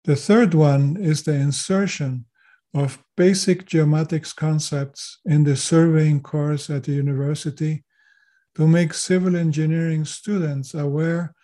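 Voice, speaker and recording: An older man who grew up in Chile, with German as his first language, talks as a guest on a podcast. His voice sits a little high but has a dark, mellow tone. He speaks in a measured, formal way. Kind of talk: podcast interview.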